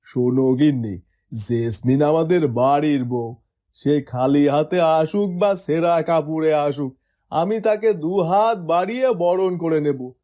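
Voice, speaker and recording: A man's voice: a little high, a little slow, dark tone, natural voice. A man voicing a stern, principled middle-aged village headman in a fairytale cartoon. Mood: stern, reproachful.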